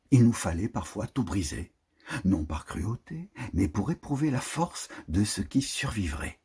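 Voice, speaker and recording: Slow, husky man reciting poetry. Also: fairly low pitch, darkish tone. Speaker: reader of a prose poem. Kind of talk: literary poetry reading.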